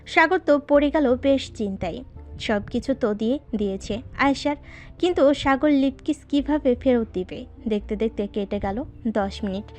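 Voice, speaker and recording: A woman's voice: a little high, moderate pace. A woman narrating a scripted story as its narrator. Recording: voice over background music.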